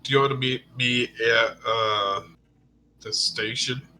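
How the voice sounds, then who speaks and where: A man's voice: mid-range voice, fairly even intonation, takes it slowly, pushed and bright, slight background noise, poor audio. A man who sounds congested from being sick, chatting casually while gaming, with short, laid-back replies.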